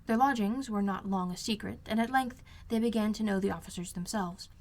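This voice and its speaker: A woman's voice: mid-range voice, fairly quick, darkish tone. A woman reading a classic novel aloud as scripted literary narration. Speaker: amateur audiobook reader.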